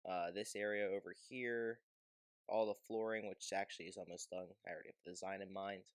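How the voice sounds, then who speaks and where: A man's voice: deep voice, fairly even intonation, brisk, no room sound. A man giving casual, informal commentary on a game video as its host, rambling a little as he goes.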